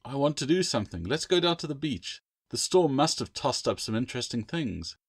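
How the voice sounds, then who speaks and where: Bold young girl, acted by a male narrator, storybook sibling who teases and pushes back, pitch raised. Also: sing-song, fairly quick, breathy edge, no echo, studio quality. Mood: bold, insistent. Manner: teasing, rebuffing.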